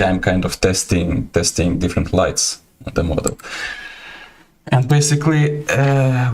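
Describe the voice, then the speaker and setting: Deep-voiced Ukrainian-accented man. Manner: halting, many fillers and restarts. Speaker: livestream host, 3D artist. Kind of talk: casual livestream talk.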